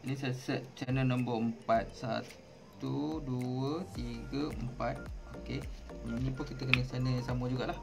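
A man with a Malaysian accent casually unboxing and demonstrating something, halting and repeating words as he explains. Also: mid-range voice, fairly even intonation, vocal fry. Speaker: vlogger. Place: indoors at a table at home. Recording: music playing behind the voice.

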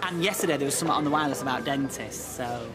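A man's voice: mid-range voice, very fast, a loud crowd, heavy background noise, close to an everyday voice. An actor playing a chatty man in a television soap drama, rambling as he tells a story. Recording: TV drama with music underneath.

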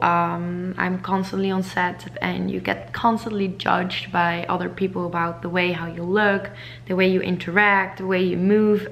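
A woman's voice: fairly low pitch, slight background noise. A woman in her twenties, raised in Zimbabwe and the Netherlands, talking openly to camera from her living room, now and then dropping to a whisper. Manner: open, reflective, slips into soft whispers. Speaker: vlogger and model. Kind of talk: casual talk to camera. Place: living room at home, indoors. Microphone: camera in front at close range.

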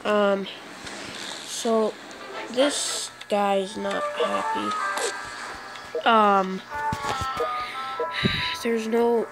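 A woman's voice: medium pitch, fairly even intonation, extremely slow, pauses often, over loud music, poor audio. A woman with a stuffed-up, congested voice hosting a gaming channel, giving rambling, hesitant game commentary full of fillers. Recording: loud background noise.

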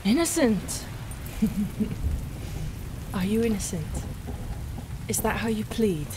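A woman's voice: medium pitch, expressive, extremely slow, background noise. A woman playing a ruthless, contemptuous tentacled pirate captain in an audio roleplay, speaking with imperious scorn. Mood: scornful. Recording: loud rain and ship ambience.

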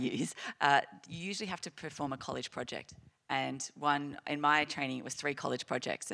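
A woman's voice: a bass voice, vocal fry, sharp tone. An Australian woman speaking as a panelist on a stage, earnest and reflective, restarting her sentences as she thinks. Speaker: panelist, clinician-scientist. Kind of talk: panel discussion answers. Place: lecture hall stage.

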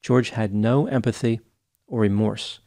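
Thirties male educator, lowish pitch, even and clinical; reads a case analysis close on a boom mic. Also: creaky. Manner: measured, even, clinical delivery. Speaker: psychology educator. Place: small home studio, indoors. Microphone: close studio mic on boom arm.

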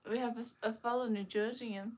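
A young woman from China, a guest on a livestream, speaking hesitantly with many pauses and fillers. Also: on the high side, fairly even intonation, a loud crowd. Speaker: guest and chat moderator. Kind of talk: casual talk, reading chat comments. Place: living room with bookshelves, indoors.

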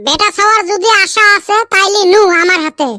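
A woman's voice: extremely high pitch, a little fast, sharp tone, slight background noise. A woman voicing a boastful young male friend in a cartoon, bragging and defensive. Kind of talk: cartoon voice acting.

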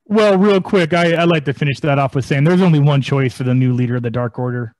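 A man co-hosting a wrestling podcast over a video call, talking excitably and swearing freely. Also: mid-range voice, fast talker, no room sound, poor audio. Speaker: podcast co-host. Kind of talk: casual wrestling chat.

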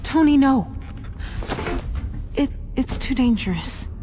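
A woman's voice: high voice, animated, takes it slowly, music in the background. A woman playing a worried, caring young assistant to a hero in a video game. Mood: anxious, pleading.

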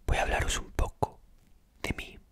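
A man's voice: moderate pace, fairly bright tone. A man in his thirties whispering an ASMR introduction very close to a desktop microphone. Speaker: ASMR creator. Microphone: close desktop mic with foam cover.

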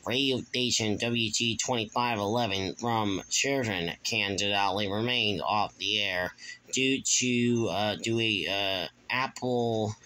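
A man giving a casual, halting, repetitive show-and-tell narration into a handheld phone in a room at home. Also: lowish voice, pushed and bright, poor audio. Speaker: video host.

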